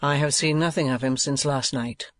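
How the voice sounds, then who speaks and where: A man voicing a defiant, earnest young teacher who answers in a quiet, firm way. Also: mid-range voice, fairly even intonation, normal speed, natural voice.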